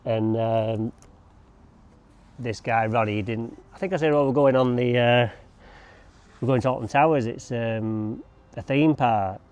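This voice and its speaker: A man's voice: medium pitch, unhurried, long pauses, darkish tone, clean tone, lo-fi sound. A man chatting casually to camera while working outdoors, rambling with joking asides. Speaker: lawn care vlogger. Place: outdoors on a lawn.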